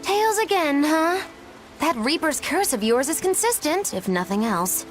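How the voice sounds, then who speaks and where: High, lilting, mischievous witch. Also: very animated, unhurried. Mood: wry. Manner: sly, mocking. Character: mischievous, teasing witch, flippant and theatrically knowing. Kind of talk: video game voice acting. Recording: game music under the voice.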